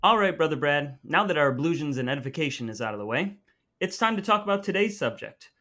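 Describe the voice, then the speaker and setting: A man's voice: on the high side, expressive, quick pace, pushed and bright. An American man hosting a casual comedy podcast in a playfully mock-grand, theatrical manner. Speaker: podcast host.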